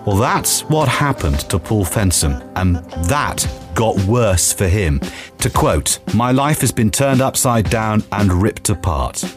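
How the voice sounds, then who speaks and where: A man's voice: lowish voice, lively intonation, a little slow, music in the background. A man hosting a radio phone-in show, questioning callers and guests in a chatty way.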